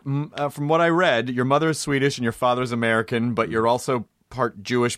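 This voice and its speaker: A man's voice: medium pitch, fairly bright tone, no room sound, studio quality. A man hosting a casual interview, joking and rambling as he swears freely. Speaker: interviewer host.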